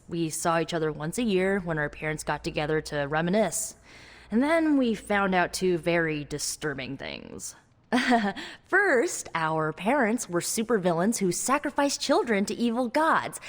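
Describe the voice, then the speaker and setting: A woman playing a young spellcaster hero in a video game, wry and guarded, talking in a conversational, hesitant way. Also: mid-range voice. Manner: wry, hesitant, halting asides. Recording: long reverb, music underneath.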